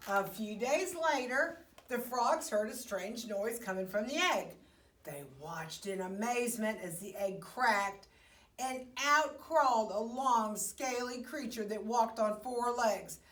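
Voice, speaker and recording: Casting: female children's storyteller, expressive and unhurried, picture-book read-aloud, echoey room, off the mic. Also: a little high. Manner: expressive, with hushed asides to the young listeners. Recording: roomy, echoey, distant microphone.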